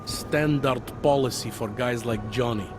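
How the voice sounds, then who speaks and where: An actor playing a weary, self-deprecating older cop near retirement in a video game, talking in a wry, conversational way. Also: male voice, mid-range voice, a little slow, background noise, natural voice. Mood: matter-of-fact. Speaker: police officer. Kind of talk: video game cutscene dialogue. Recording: background music under dialogue.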